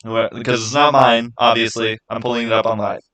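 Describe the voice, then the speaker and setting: A man's voice: medium pitch, flat delivery, bright, forceful tone. Man chatting casually on a livestream. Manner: halting, rhetorical questions to viewers. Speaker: livestream host.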